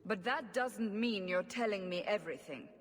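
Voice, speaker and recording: A woman's voice: mid-range voice, normal speed, husky, close to an everyday voice. An actress playing a skeptical female interrogator. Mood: probing. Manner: skeptical, probing. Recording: game audio with long reverb.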